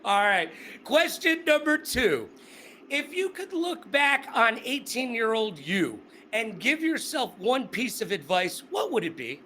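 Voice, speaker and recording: A high, bright male voice, the host of a casual interview, upbeat and joking in his questions. A faint hiss sits under it. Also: sing-song, measured pace. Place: Las Vegas.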